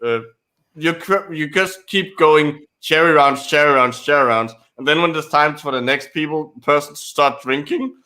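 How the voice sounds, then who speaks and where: A man's voice: a little high, moderate pace, pushed and bright. A man co-hosting a casual live stream, telling an anecdote with plenty of restarts. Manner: rambling anecdotes with many restarts. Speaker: co-host.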